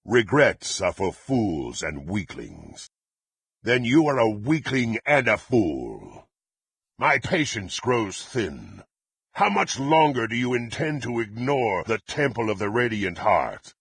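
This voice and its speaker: An actor playing a brutal, threatening warrior in a fantasy video game, with a raspy voice and imperious, archaic diction. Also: male voice, lowish voice, big pitch swings, slow, a bit bright, no room sound, exaggerated voice. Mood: cold, threatening. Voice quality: gravelly, raspy. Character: brutal, menacing half-orc warrior serving a demonic patron.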